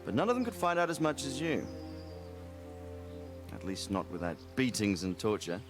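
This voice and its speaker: A man's voice: lowish voice, sing-song, moderate pace, music in the background. A man voicing a fatherly, philosophical medieval lord in a video game, reflective and authoritative. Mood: approving, fatherly.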